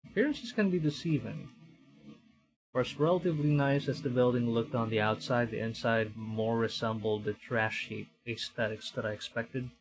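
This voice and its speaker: A man's voice: mid-range voice, normal speed, rough recording. Man narrating a visual novel on stream. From Philippines. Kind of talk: dramatic game narration with casual commentary.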